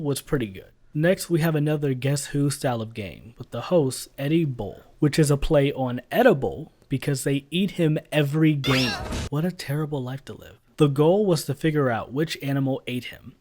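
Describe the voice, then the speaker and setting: A man's voice: mid-range voice, darkish tone. A man giving casual voice-over commentary as a video reviewer, blunt and sarcastic, swearing freely. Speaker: YouTube reviewer.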